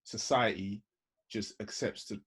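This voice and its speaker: A man on a video-call panel, laying out his points one by one in a measured way. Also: on the low side, fairly even intonation, slightly rough. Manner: measured, lays out points in order. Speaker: panel guest. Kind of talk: reasoned panel discussion.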